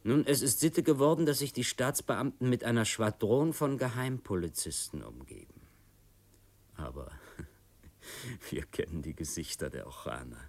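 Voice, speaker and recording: An actor playing a stern, cold revolutionary committee boss in a German radio play, speaking in a deliberate, commanding way. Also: male voice, medium pitch, lively intonation, gravelly. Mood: businesslike, commanding.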